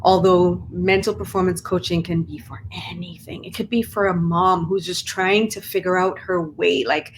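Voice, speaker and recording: A middle-aged woman with a Trinidadian lilt explains things in a fairly low voice. She is earnest and steady and often tags her points with a quick "right?". A faint hiss sits under the recording. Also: poor audio. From Trinidad and Tobago. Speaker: mental performance coach, guest. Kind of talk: conversational interview.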